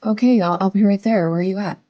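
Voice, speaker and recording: An actress playing a worried, protective and feisty older sister in an audio drama. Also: female voice, mid-range voice, fairly even intonation, fast talker, lo-fi sound, lightly acted. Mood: anxious, insistent.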